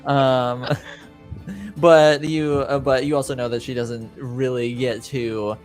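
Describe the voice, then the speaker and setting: A man improvising narration as a game master, hesitant and pausing, with a deadpan manner. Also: medium pitch, soft background music.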